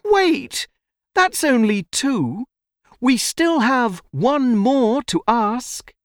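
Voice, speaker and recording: A woman's voice: a little high, wildly swooping pitch, slow pace, dry recording, clean studio sound, voice pushed up, full character voice. A woman voicing a frightened, friendly little boy in a storybook, protesting earnestly. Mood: urgent pleading.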